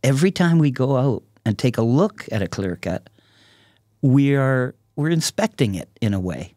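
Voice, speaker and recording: A man in his sixties speaking casually and reflectively as a podcast guest, in a halting, thoughtful way. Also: mid-range voice, big pitch swings, warm tone, no room sound, studio quality. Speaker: podcast guest, artist and songwriter. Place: small podcast studio with curtains. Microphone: close podcast microphone on a stand.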